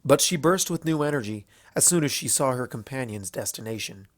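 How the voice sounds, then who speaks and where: A man reading scripted fan fiction as narrator, telling the story in an expressive way. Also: mid-range voice, a little fast, no echo, clean studio sound.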